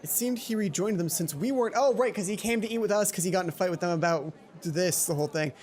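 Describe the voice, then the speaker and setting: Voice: medium pitch, fast talker, a little mellow. A gaming host reading a visual novel aloud, dramatizing it and breaking off into offhand asides, sometimes stumbling over lines. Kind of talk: let's play, reading visual novel aloud with casual commentary. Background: game music.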